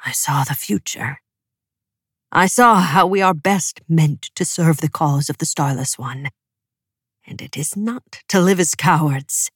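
A woman's voice: low voice, a little slow, husky, dry recording, studio quality. A woman voicing a ruthless male preacher from a storybook, declaiming firm and commanding.

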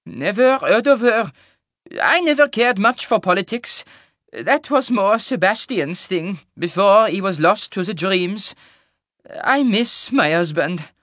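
A man's voice: high-pitched, animated, slow, fairly bright tone, no echo, studio quality, voice pushed up, full character voice. A man voicing a kindly, grieving old woman baker with a plaintive, plain-spoken manner and a strong accent. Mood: wistful. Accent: strong foreign-sounding fantasy accent.

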